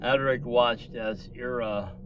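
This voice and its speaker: A man's voice: medium pitch, steady tone, takes it slowly, lo-fi sound, noisy background. A man reading dramatic scripted science fiction narration as a storyteller.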